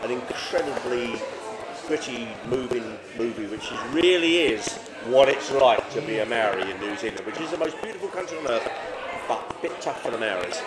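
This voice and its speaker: A man's voice: medium pitch, expressive, normal speed. A man chatting casually and emphatically as a podcast guest, telling stories about films with real enthusiasm. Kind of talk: animated casual chat about favourite films. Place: busy pub, indoors. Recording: echoey room, very loud pub crowd.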